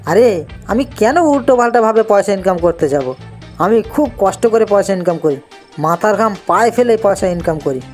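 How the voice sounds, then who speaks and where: A woman's voice: mid-range voice, animated, moderate pace, darkish tone, soft background music, natural voice. A woman voicing a simple, stubborn young village husband in a cartoon. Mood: defensive. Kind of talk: cartoon voice acting.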